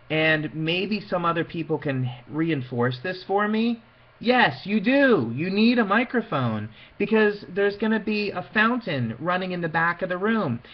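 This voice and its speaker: Slightly high-voiced man giving an informal lecture. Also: dull audio. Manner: conversational, emphatic, illustrates points with quoted asides. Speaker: lecturer on PA systems for wedding officiants. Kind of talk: informal spoken lecture to an audience.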